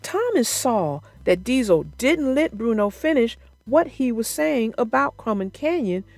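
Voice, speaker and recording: Casting: expressive woman for children's read-aloud narration, lively and high-pitched. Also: a little slow, no echo, soft background music. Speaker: storybook narrator.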